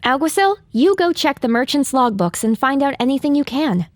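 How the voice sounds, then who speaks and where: Plucky filly heroine, high youthful voice, sassy, earnest, stubborn, audio drama. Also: female voice, sing-song, moderate pace. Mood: determined.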